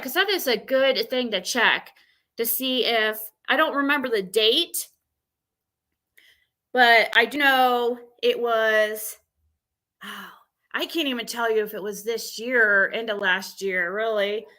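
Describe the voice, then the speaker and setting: A woman hosting a livestream, talking casually and haltingly, thinking aloud as she rambles through asides. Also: fairly high pitch, sharp tone. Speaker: livestream panel host.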